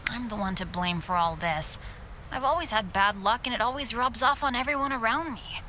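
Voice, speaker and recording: A woman's voice: high voice, lively intonation, moderate pace, pushed and bright, slight background noise, heavily acted. A woman voicing an unlucky teenage boy adventurer, earnest and boyish, in an anime video game. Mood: apologetic, downcast.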